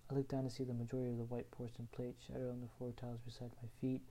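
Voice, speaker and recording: Young man, very dark flat voice. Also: mid-range voice, racing, rough recording. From Houston, Texas. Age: young adult. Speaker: student reading his own essay. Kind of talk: reading a written personal story aloud.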